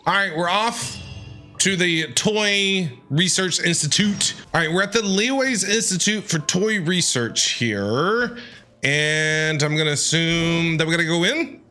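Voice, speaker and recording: Male streamer: bright, very expressive casual reaction commentary, game music behind him. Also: on the high side, measured pace. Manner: expressive, playful, reading and responding aloud. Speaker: gaming streamer.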